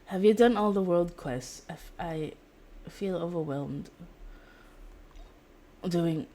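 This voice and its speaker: A woman's voice: on the low side, takes it slowly, mellow. A woman streamer chatting casually while gaming, in a rambling, giggly way.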